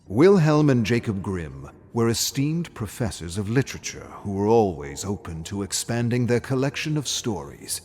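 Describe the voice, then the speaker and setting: Guy reading a dark fairy tale, lowish voice, really animated and dramatic, some background noise. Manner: dramatic, measured storyteller delivery. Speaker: narrator. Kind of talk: scripted fairy-tale storytelling narration.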